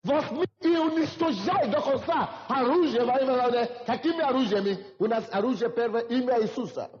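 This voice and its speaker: High-voiced preacher in a reverberant hall. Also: male voice, brisk, darkish tone. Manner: preaching with rising emphasis and repetition. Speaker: pastor. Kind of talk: sermon. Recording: dull lo-fi hall recording.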